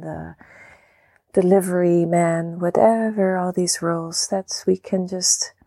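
A woman leading a guided meditation, speaking calmly and gently in short phrases with long pauses. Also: on the low side, gravelly, no room sound. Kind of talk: guided meditation, softly spoken instruction.

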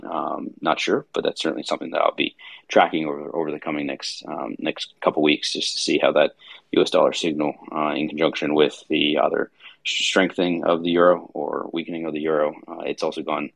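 Male host, very low husky voice, tired and rambling, casual delivery. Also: level tone, brisk, a bit bright, no room sound. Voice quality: raspy. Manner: rambling, heavy with fillers and restarts. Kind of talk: casual market-review livestream chat.

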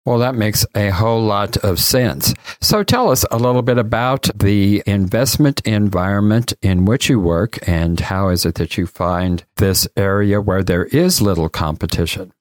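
A man's voice: fairly low pitch, moderate pace, few pauses, no room sound. A man hosting an interview, reading a formal introduction and asking the guest questions. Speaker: podcast host.

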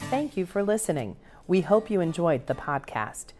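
A woman's voice: lowish voice, lively intonation, normal speed, a little mellow, soft background music. A woman reading scripted podcast announcements as the show's announcer. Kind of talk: scripted outro narration.

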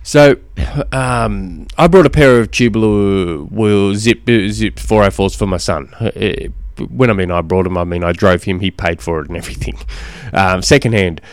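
A man hosting a casual solo podcast, slightly hoarse from a cold, rambling with many ums and restarts. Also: lowish voice, normal speed, few pauses, no room sound, studio quality. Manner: rambling, self-deprecating, lots of ums and restarts. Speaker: podcast host and cycling coach.

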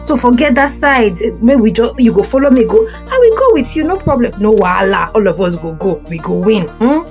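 A commanding, motherly prophetess with a Nigerian accent , played by a woman. Her voice sits high and swoops with lively emphasis, often tagging lines with a pressing question. The style is that of an audio Bible play. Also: brisk, dull audio, music in the background. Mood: firm, reassuring. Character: confident, commanding prophetess Deborah, motherly and firm.

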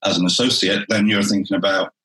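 A man who is a theatre director, talking through his work in a relaxed interview and often restarting his phrases. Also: deep voice, fast talker, echoey room, rough recording.

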